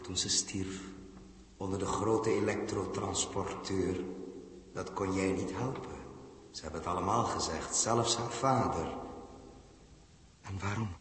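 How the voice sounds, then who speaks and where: An actor playing a troubled, indulgent man with a husky voice and a hesitant, musing manner, in 1960s Dutch radio drama style. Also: deep voice, exaggerated voice. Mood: sombre, guilt-ridden. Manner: hesitant, musing, thinking aloud. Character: troubled, indulgent grown man humouring a little girl.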